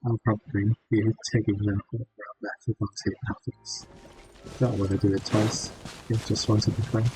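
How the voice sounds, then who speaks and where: Mumbling man over loud game music. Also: lowish voice, flat delivery, fairly quick, poor audio. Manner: mumbled, offhand asides. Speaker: streamer playing a game. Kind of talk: casual gameplay commentary. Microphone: built-in laptop mic.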